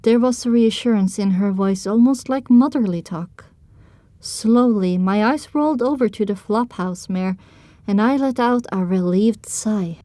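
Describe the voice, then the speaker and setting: A woman's voice: mid-range voice, unhurried, dark tone, dry recording, natural voice. A woman narrating an audio drama in the first person as a sensitive young pony filly, in a scripted storytelling style. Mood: reflective.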